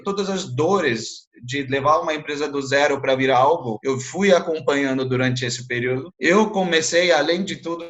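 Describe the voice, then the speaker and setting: A man's voice: mid-range voice, fairly bright tone, muffled recording. A middle-aged man from India with an Indian accent, a non-native speaker, recounting his career in a reflective, explanatory way as an interview guest. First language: not a native Portuguese speaker. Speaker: guest, entrepreneur.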